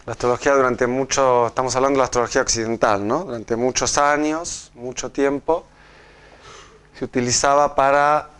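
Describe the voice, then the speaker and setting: A man's voice: mid-range voice, steady tone, a bit bright, clean tone. A man with an Argentine accent giving a live talk to an audience, often restarting phrases and checking in with "¿no?". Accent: Argentine Spanish. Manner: halting, with many restarts and checks of "¿no?". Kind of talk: live public lecture. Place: lecture room with an audience.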